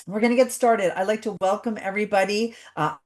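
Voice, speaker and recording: A woman's voice: medium pitch, fairly quick, darkish tone. A woman hosting a live webinar over a video call, chatty and welcoming as she greets everyone. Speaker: webinar host.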